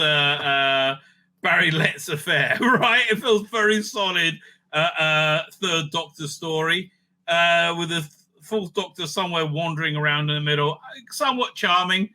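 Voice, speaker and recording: Very bright-voiced man, blunt and opinionated. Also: high voice, measured pace. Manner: blunt, opinionated, sweary, repeats phrases for emphasis. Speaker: host of a fan review show. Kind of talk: casual rambling review and ranking commentary.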